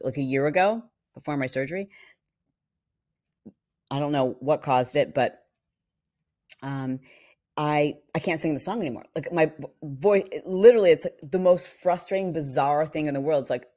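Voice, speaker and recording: A middle-aged woman co-hosting a casual podcast, her voice husky from a vocal cord injury, telling stories and acting out quotes. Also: sits low. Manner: animated storyteller, acts out quotes. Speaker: podcast co-host. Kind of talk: casual chat. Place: home studio. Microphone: studio mic on boom arm.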